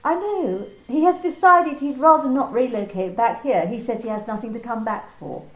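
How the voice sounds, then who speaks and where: A woman's voice: on the high side, normal speed, roomy sound, natural voice, low-quality recording. A woman playing a coolly polite corporate manager. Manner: bland, smoothly bureaucratic, clipped politeness. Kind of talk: amateur radio play reading.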